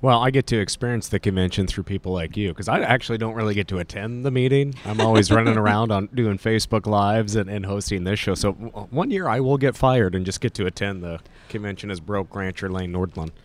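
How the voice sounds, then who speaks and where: A man with a Montana accent hosting a casual interview at a cattle convention, easygoing and affirming. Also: fairly low pitch. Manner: easygoing, quick agreeing interjections. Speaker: host.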